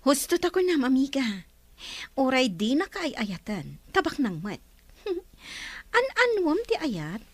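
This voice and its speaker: A woman's voice: high voice, very animated, slow pace, dry recording, full character voice. A woman acting a scheming, money-minded friend with an Ilocano accent in a radio drama. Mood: scheming urging.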